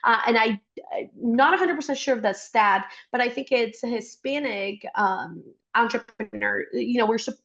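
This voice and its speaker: A woman's voice: on the high side, normal speed, vocal fry, a bit bright, dull audio. Chatty young woman. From Costa Rica. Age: young adult. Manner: chatty and upbeat. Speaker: guest, startup support worker. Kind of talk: interview conversation.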